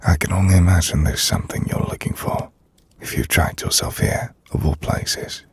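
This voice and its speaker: A man playing an ancient, brooding vampire lord in an intimate ASMR roleplay, speaking formally and drily in a gravelly, breathy near-whisper. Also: low voice, steady tone. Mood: dry teasing. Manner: formal, measured, dry. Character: ancient brooding vampire lord, dryly teasing, courteous host.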